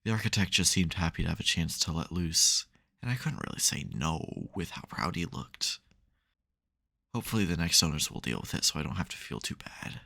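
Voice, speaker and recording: Deep, gravelly soft-hearted supervillain played by a man. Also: animated, a little fast, full character voice. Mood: reassuring, sheepish. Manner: dry teasing, hesitant, mock-grudging. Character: supervillain nemesis, secretly soft, teasing and flustered. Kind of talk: intimate ASMR audio roleplay.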